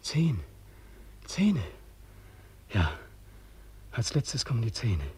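An actor playing a haunted, sleepless young ex-sergeant in a German radio play, speaking with insistent, feverish intensity in a husky voice. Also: male voice, mid-range voice, sing-song, drawn-out words, darkish tone, slight background noise. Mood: eerie, confiding. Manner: intense, urgent, insistent repetition.

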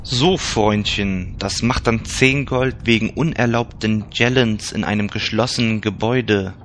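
A man's voice: on the low side, a little slow, fairly bright tone, a little hiss or hum. An actor playing a stern, officious in-game policeman issuing a fine, in a comedy radio play.

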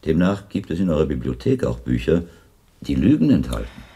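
An actor playing a probing, courteous medieval monk investigator in a German radio drama, with formal, questioning delivery. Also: male voice, extremely low pitch, sing-song, fairly quick, warm tone, husky, heavily acted. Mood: probing, measured.

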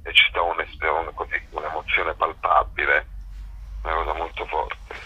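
A man's voice: a bass voice, completely flat delivery, normal speed, sharp tone, breathy edge, rough recording. A man talking casually and enthusiastically in an interview, in a rambling, colloquial way, as a festival director.

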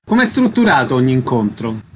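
A man's voice: mid-range voice, very animated, a little fast, a bit bright, slight background noise. A man hosting an arts and culture radio interview, asking the questions.

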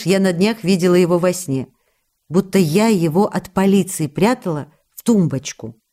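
A woman playing a loving, sociable actress writing to her husband, reading the letters for radio in an intimate, expressive, chatty way. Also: on the low side, natural voice.

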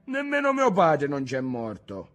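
A man voicing a weary, grieving old fisherman grandfather in an audiobook, speaking slowly and pleadingly. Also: fairly high pitch, huge pitch swings, a bit bright, soft background music, exaggerated voice. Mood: hurt. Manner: slow, pleading, subdued. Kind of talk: audiobook character reading.

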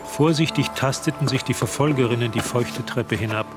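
An actor as a measured, literary narrator of a suspenseful radio drama. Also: male voice, medium pitch, over music, close to an everyday voice. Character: measured storyteller of a suspense tale.

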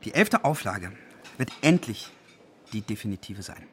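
An actor in a radio play as a zealous, pedantic dictionary compiler who speaks with gloating enthusiasm. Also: male voice, lowish voice, very animated, a little slow, a bit bright. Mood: pedantic passion. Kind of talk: radio play dialogue acting. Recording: faint background noise.

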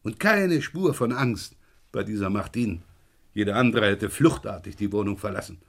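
An actor playing a middle-aged police inspector in a German radio crime drama, gruff, probing and impatient. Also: male voice, on the low side, animated, moderate pace, a bit bright, exaggerated voice. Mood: brisk, impatient. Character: brisk, probing French police inspector, the boss.

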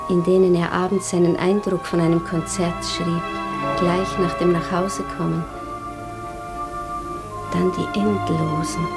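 An actress playing a wistful woman lingering over old love letters in a literary radio play. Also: lowish voice. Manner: slow, lingering, dreamy repetition. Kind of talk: literary radio drama monologue. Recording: radio play with clear music.

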